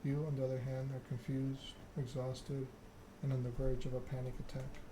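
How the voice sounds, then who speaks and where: Man, ASMR game narration, unhurried, little pitch variation, noisy background. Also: completely flat delivery. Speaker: gaming video creator.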